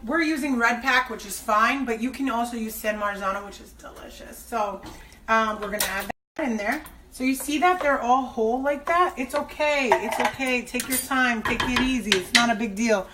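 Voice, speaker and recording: A woman's voice: fairly high pitch, moderate pace. A woman hosting a cooking show, chatting and joking with viewers as she cooks in a kitchen. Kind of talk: casual cooking demonstration. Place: kitchen by the stove. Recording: frying sizzle noise in a kitchen.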